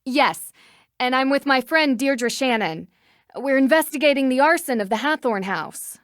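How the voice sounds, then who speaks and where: A woman's voice: sits high, animated, normal speed, no echo, studio quality. An actress playing a confident, polite young female sleuth in a video game, speaking in a composed, direct way. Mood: polite, persistent. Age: young adult.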